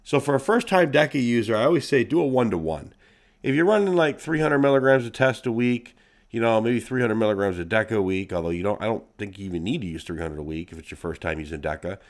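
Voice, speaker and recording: A man hosting his own podcast, talking casually and bluntly with a congested, nasal voice and lots of swearing. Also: fairly low pitch. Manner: blunt, profane, animated. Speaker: podcast host. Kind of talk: casual solo podcast monologue.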